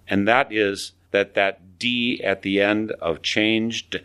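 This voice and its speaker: A man in his seventies hosting a radio program, asking questions and reflecting in a slow, deliberate way. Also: lowish voice, little pitch movement, fairly bright tone, clean tone. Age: 70s. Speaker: radio show host.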